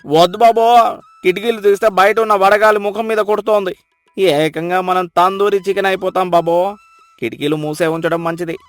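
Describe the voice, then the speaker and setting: A man's voice: high-pitched, fairly even intonation, brisk, no echo, natural voice. A man voicing an anxious, deferential manservant who pleads and warns in a whiny way, for a cartoon moral story. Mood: alarmed. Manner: pleading, warning. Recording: music behind the voice. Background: music.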